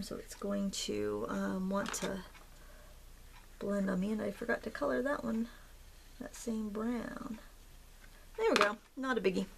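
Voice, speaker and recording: A woman hosting a craft tutorial, chatting in a relaxed, rambling way as she paints. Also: mid-range voice, vocal fry, background noise. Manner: relaxed, rambling, with fillers and soft laughs. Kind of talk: casual chatty tutorial narration.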